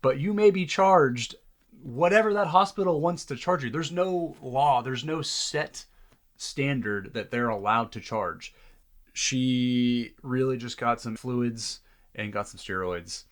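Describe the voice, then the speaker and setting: A man's voice: medium pitch, takes it slowly. A man speaking as a doctor in an earnest, reflective interview conversation. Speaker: doctor, podcast guest.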